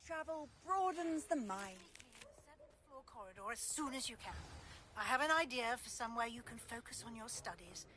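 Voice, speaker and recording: A woman playing a discreet, scholarly teacher at a wizard school in a video game, measured and secretive. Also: on the high side, expressive, sharp tone. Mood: discreet, inviting. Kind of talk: video game character dialogue.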